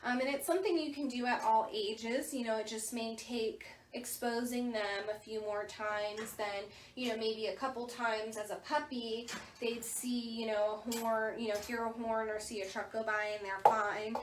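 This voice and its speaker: Woman, fairly high soft voice, chatty clinic advice, recorded from a distance in a roomy space. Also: steady tone, moderate pace, a little hiss or hum. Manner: chatty, many "you know" fillers. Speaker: veterinary staff member. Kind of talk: informal instructional talk. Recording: echoey room, distant mic.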